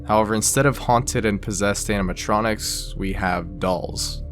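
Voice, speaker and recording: A man's voice: on the low side, normal speed. A man narrating a scripted explainer in a conversational way, with casual asides. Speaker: video essay narrator. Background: background music.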